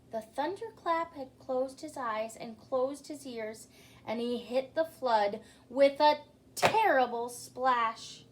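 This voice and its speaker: A woman's voice: sits high, a little hiss or hum. A woman hosting storytime, reading a children's book aloud theatrically and speaking directly to young listeners.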